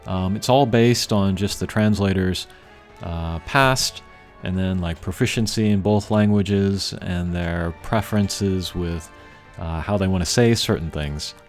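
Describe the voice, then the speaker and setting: A man giving casual, unscripted gameplay commentary as a channel host, thinking aloud in a measured way. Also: sits low. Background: game music.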